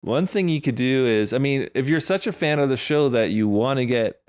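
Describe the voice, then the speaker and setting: A man's voice: medium pitch, lively intonation, normal speed, darkish tone, clear, resonant tone. A man from Canada co-hosting a podcast in casual comedic chat, joking and laughing often. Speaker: podcast co-host.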